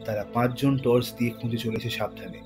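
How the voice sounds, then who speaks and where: A man's voice: medium pitch, fairly even intonation, brisk. A man narrating a scripted thriller story in a dramatic style. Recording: voice over music bed.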